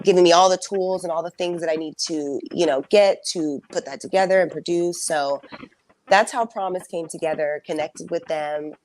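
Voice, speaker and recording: A young woman singer telling her story in a casual interview, soft-spoken and reflective, with frequent fillers. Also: low voice, slight background noise. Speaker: singer and songwriter guest.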